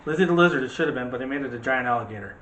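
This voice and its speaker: A middle-aged man chats casually as co-host of a homemade movie show. He rambles and teases at an ordinary pitch. The room echoes noticeably, with faint noise behind him. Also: animated, rapid-fire, fairly bright tone. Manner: teasing, rambling. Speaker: co-host of a movie review channel. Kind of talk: casual chat. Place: room at home. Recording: roomy echo, faint background noise.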